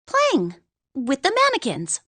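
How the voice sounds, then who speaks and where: A woman's voice: high-pitched, wildly swooping pitch, measured pace, bright, forceful tone, heavily acted. A woman voicing a small, moody, girlish young woman in a video game. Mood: defensive. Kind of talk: English video game voice acting.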